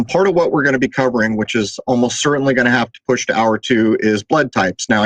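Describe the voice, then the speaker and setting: A man's voice: medium pitch, a bit bright, no echo, low-quality recording. A man hosting a talk show, chatting casually and drifting between topics with fillers. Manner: conversational, digressive with fillers. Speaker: podcast host.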